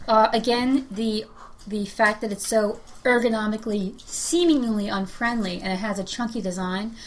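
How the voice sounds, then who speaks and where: A woman's voice: medium pitch, normal speed. A woman guesting on a podcast, giving her opinions in a blunt, skeptical, casual way. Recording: faint background noise, room ambience.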